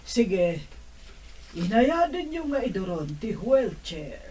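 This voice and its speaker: A woman's voice: medium pitch, slow pace, a little hiss or hum. A woman playing a jolly, assured middle-aged mother and soon-to-be grandmother in an Ilocano radio drama, speaking expressively. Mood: composed. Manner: expressive, prone to chuckling.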